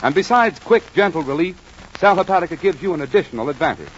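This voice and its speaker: A man with an American accent playing a friendly, earnest druggist pal and pitchman as a 1940s radio announcer. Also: lively intonation, fast, natural voice. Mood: smooth selling. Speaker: announcer and sidekick. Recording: muffled, noisy 1940s radio recording.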